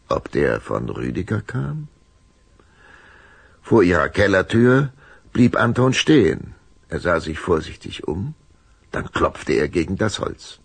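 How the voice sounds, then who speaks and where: Male narrator for children's radio play, deep and creaky, expressive delivery. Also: dry recording. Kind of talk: scripted audio drama narration for children.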